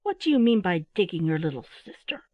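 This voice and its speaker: A woman voicing a flustered old mother owl who scolds in sharp exclamations in a children's story. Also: lowish voice, sing-song, fairly quick, no echo, narrow, tinny audio. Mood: scolding.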